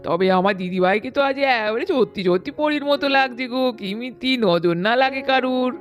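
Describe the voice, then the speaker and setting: A woman puts on an old grandmother for a doll cartoon. She is doting and chatty, exclaiming fondly in a fairly high voice over music. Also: animated, fairly quick, close to an everyday voice. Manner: fond, fussing, exclaiming. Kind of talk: children's doll-story cartoon acting.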